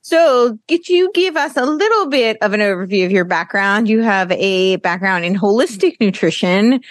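A woman's voice: mid-range voice, expressive, normal speed, no room sound, studio quality. A woman hosting a podcast interview, asking friendly, inquisitive questions. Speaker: podcast host.

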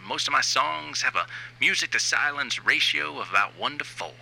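A man's voice: medium pitch, gravelly, sharp tone, heavily acted, low-quality recording. A man playing a self-important, sleazy new wave band frontman in a rambling, conversational comic monologue. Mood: boastful. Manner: rambling, smug, halting asides. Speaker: writer and reader of a comic fiction series.

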